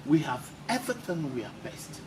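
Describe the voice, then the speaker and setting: A man's voice: a little high, very animated, a little fast. A man hosting a casual interview, often repeating phrases for emphasis. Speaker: interviewer, channel host. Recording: noisy, distant mic with ambient sound.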